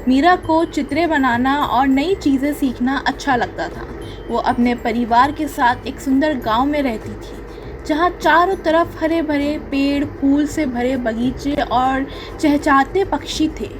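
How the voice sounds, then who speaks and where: High-voiced woman narrating a children's story. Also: measured pace, over music, rough recording.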